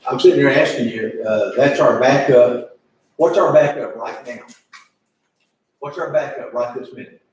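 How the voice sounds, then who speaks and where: A man chairing an informal public meeting in a folksy, halting way, repeating himself. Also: mid-range voice, a little mellow. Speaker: judge presiding over county commissioners meeting. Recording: echoey meeting room, distant mic, faint noise.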